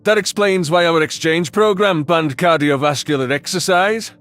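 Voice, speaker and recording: Man doing a very high-voiced cheeky alien guy. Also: normal speed, a bit bright, soft background music, natural voice. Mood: sly teasing. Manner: sardonic, brash teasing. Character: flippant, cheeky male alien marsupial-like exchange partner.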